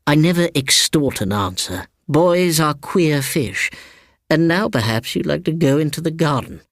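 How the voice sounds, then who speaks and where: An imperious old English aunt, as a male storyteller puts her on, pitched up high and swooping through each phrase. She is precise, sharp-tongued and condescending, recorded close and dry. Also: lively intonation, unhurried, no room sound. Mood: probing, scornful.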